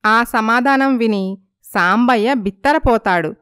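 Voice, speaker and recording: A woman's voice: a little high, measured pace, fairly bright tone, clear, resonant tone, dry recording, studio quality. A woman narrating a scripted moral story.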